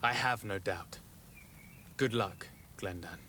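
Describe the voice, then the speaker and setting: Earnest male noble, courteous and measured, game cutscene, ambient noise behind. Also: fairly low pitch, sharp tone. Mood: formal, concerned. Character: earnest, courteous young noble diplomat, burdened by family betrayal. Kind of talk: video game cutscene dialogue acting.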